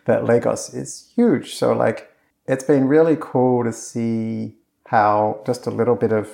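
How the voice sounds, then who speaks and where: A man's voice: mid-range voice, fairly even intonation, a little slow, creaky, warm tone. A man being interviewed in casual conversation, talking in a loose, rambling way with lots of fillers and restarts. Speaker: course creator being interviewed.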